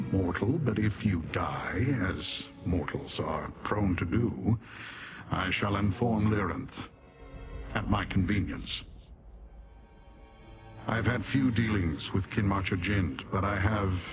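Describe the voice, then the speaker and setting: An actor playing a cold, haughty demon warrior in a video game, speaking formally with measured, deadpan condescension. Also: male voice, lowish voice, natural voice. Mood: disdainful. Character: haughty male Dremora demon warrior commander, coldly disdainful of mortals. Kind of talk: video game character dialogue.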